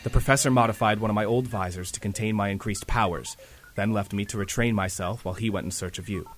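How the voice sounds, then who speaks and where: A man's voice: fairly low pitch, fast talker, lightly acted. A man playing an earnest, troubled young superhero team leader, commanding and melodramatic, in a fan audio drama. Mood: grim recounting. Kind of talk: fan audio drama acting with first-person narration. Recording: long reverb, faint background noise.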